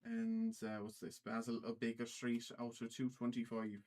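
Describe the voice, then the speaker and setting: A man hosting a trading card livestream in a put-on Irish accent, casually calling out cards in a halting way and often repeating himself. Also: medium pitch, big pitch swings, darkish tone.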